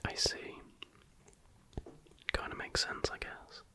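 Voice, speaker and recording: British ASMR host in a bright whisper. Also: slow pace. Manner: hushed, chatty, informal. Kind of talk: whispered ASMR, casual rambling reaction commentary.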